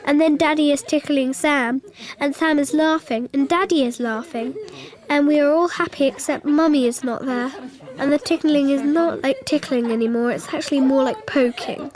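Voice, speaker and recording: A woman's voice: sits high, normal speed, a little mellow, close to an everyday voice. A woman playing a young, innocent, anxious girl who speaks in simple childlike phrases in a radio drama. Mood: excited.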